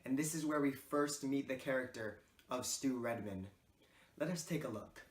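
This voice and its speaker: A man's voice: medium pitch, normal speed, noisy background. A man giving a formal, earnest scripted narration as presenter of a reader's theater piece. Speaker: presenter of a literature class project. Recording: roomy echo.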